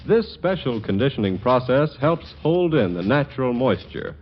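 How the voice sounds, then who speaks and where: A man's voice: mid-range voice, big pitch swings, normal speed, slight background noise. A man reading scripted commercial copy as a 1940s radio announcer, on a dull old recording.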